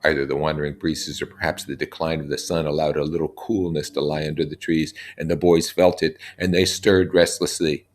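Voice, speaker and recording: A man's voice: rumbling low, little pitch movement, brisk, few pauses. A man reading a book aloud expressively, adding casual comments as he goes. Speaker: reader.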